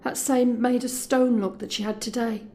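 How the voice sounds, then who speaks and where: A woman's voice: fairly high pitch, fairly even intonation, moderate pace, a little mellow, natural voice. A woman playing an anxious but determined young escort in an intimate, conversational audio drama monologue. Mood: shaken, sombre. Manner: confiding, conversational.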